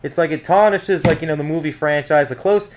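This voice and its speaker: A man's voice: a little high, brisk, slight background noise. A man giving a casual, rambling video game review in an informal, conversational way. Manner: rambling, informal, frequent "like, you know" asides.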